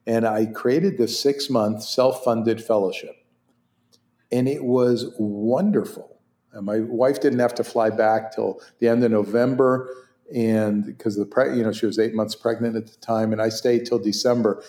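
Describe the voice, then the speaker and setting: A man's voice: medium pitch, a little slow. Hebrew-speaking older man telling stories in an echoey room. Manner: animated, laughs often, many restarts. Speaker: interview guest, orthopedic surgeon. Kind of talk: conversational storytelling.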